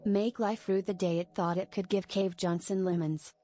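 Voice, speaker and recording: Deadpan synthetic female text-to-speech voice. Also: medium pitch, normal speed, faint music behind. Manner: synthetic, literal, deadpan delivery. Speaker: text-to-speech donation message reader. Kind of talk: automated read-out of chat messages.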